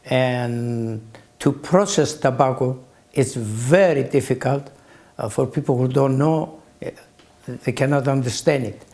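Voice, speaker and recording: A man in his eighties with a Greek accent, recalling his early life as an interview guest in halting phrases with long pauses. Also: mid-range voice, darkish tone. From Greece. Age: 80s. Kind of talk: slow reflective recollection.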